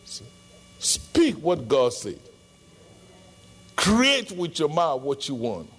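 A middle-aged Nigerian man, Yoruba his first language, preaching a sermon as a pastor with emphatic bursts into shouting. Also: a little high, wildly swooping pitch, slow pace, breathy edge.

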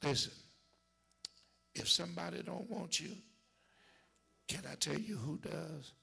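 A man's voice: a little high, a little slow, vocal fry, slightly rough. A man preaching a sermon in a church cadence, building with repeated lines and sometimes sinking to a whisper. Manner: preaching with rising shouts and dropping to whispers; call-and-response church cadence, repetition.

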